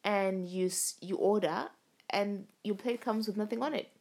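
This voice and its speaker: Sweary, gravelly-voiced woman podcaster. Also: mid-range voice, normal speed, a little mellow. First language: not English. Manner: sweary, rambling, laughs often. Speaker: podcast co-host. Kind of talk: casual tipsy chat. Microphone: home recording, lying down.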